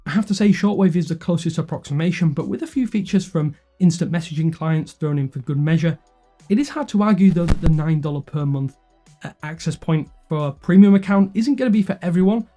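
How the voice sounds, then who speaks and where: Male review narrator, slightly high pitch, relaxed dark tone, scripted delivery, light music bed. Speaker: tech reviewer and video host. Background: background music.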